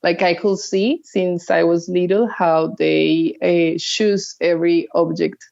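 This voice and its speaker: Brief: Colombian-accented woman guest, slow and unhurried, hesitant and searching for words, dull lo-fi call audio. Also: fairly low pitch, fairly even intonation, gravelly, a little mellow. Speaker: guest artist. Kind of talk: casual interview chat.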